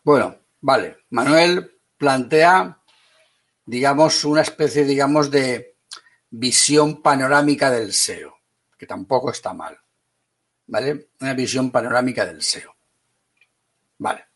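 A man from Spain with a Spanish accent teaching an online class, brisk and teasing, calling on students by name. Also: medium pitch, fairly bright tone.

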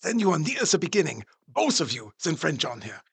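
An older professor and vampire hunter, gravelly and solemn. His voice swoops through a wide range as he speaks with deliberate, halting phrasing. He is grave and resolute, made for gothic audio drama. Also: on the high side, very animated, heavily acted. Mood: solemn, pleading.

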